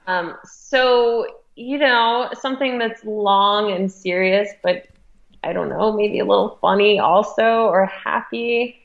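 A woman's voice: medium pitch, slow pace, gravelly, lo-fi sound. A woman being interviewed as an editor, speaking informally and thinking aloud as she goes. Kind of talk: casual interview conversation.

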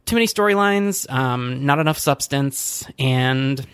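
A man's voice: mid-range voice, expressive, measured pace, a bit bright, smooth, no room sound, studio quality. A man co-hosting a podcast, casually giving frank opinions on movies, with fillers. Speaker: podcast co-host. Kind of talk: casual chat reviewing movies.

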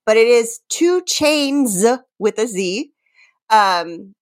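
A woman's voice: a little high, expressive, no room sound. A woman co-hosting a comedy podcast, giving mock dramatic readings and casual banter in a theatrical, giggle-prone way. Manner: theatrical, deliberate delivery of silly lines, prone to giggling. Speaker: podcast co-host reading song lyrics.

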